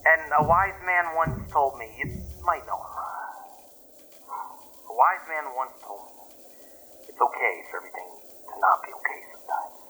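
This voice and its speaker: Man acting a rattled boyfriend, high voice, noisy recording. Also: very animated, sharp tone. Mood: fed up, rambling. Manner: rambling, halting, profane, volatile. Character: flailing, paranoid, frantic boyfriend driving at night, rattled and swearing. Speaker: actor in audio drama. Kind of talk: found-footage audio drama monologue, voicemail rant.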